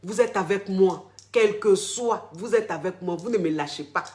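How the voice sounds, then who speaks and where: A man's voice: high voice, moderate pace, darkish tone. A man, a relationship coach talking casually to followers on a live stream. He speaks effusively and repeats his phrases over and over. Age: 40s. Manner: effusive, repeats phrases, tags many sentences with a filler word.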